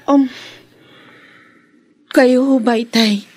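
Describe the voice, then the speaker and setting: A grown daughter with questions for her father in radio drama. Her voice is husky yet fairly high. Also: steady tone, very slow, a little mellow, heavily acted. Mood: startled, questioning. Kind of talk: Filipino radio drama acting.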